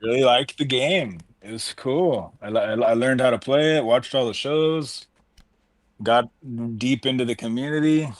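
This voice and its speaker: A man's voice: medium pitch, moderate pace, dry recording. A man in his thirties telling his collecting story in a casual group video call, rambling and restarting often. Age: 30s. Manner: rambling, self-interrupting, enthusiastic. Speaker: collector and card seller.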